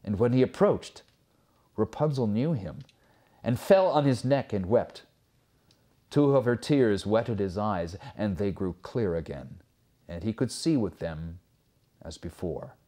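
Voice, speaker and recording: A man reading a fairy tale aloud as a storyteller, expressive and theatrical, with measured phrasing. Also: fairly low pitch.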